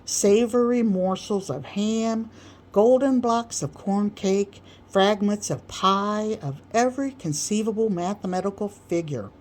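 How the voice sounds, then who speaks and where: A woman narrating a literary story aloud as an audiobook reader, with measured, deliberate phrasing. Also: medium pitch, darkish tone.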